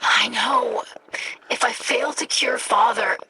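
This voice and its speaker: A woman playing a young alien scholar in a video game, earnest, anxious and gentle, with a hesitant, breathy delivery. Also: fairly high pitch, slow pace, slight background noise, low-quality recording. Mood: hesitant, downcast. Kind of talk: video game cutscene acting.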